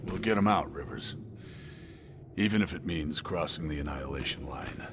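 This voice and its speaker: A man playing a gruff, steadfast resistance soldier with terse, grave delivery in a video game cutscene. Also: extremely low pitch, moderate pace. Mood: grim, steady. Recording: loud background noise, vehicle-like ambience.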